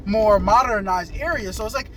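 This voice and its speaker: High-pitched man chatting in a car. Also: moderate pace. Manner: chatty, seeks agreement from his companion. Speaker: host reviewing a movie. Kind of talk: casual spoken movie review. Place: inside a car while driving. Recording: noisy in-car recording with road noise. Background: car and road noise.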